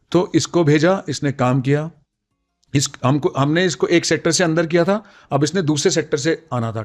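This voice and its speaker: Middle-aged Indian man recounting army memories. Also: on the high side. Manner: code-switches between Hindi and English. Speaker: retired army colonel, interview guest.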